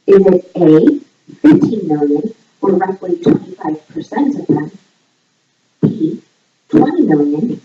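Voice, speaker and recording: Woman doing a measured trivia voice-over, sounds far from the mic, kinda muffled and roomy. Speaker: trivia game host. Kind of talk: scripted voice-over, reading quiz questions and answers. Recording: echoey, distant, muffled low-fidelity playback. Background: voice audio played back in the room while filming.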